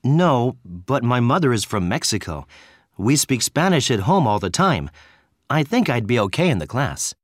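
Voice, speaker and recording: A man voicing students and a professor in scripted dialogues for a listening exam, with a clear, friendly delivery. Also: medium pitch, sing-song, moderate pace, no echo, studio quality.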